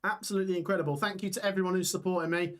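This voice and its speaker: A young man hosting a live football watch-along stream, reading out scores and chatting with viewers while his voice gets hoarse. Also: high voice, steady tone, racing. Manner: chatty, reads scores aloud, reacts to chat. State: voice going hoarse.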